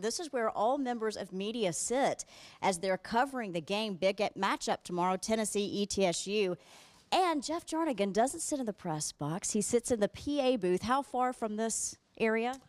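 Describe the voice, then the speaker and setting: A woman's voice: on the high side, dry recording. A woman with an American accent presenting live local television in an upbeat, polished and conversational way. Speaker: TV host, interviewer. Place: stadium press box.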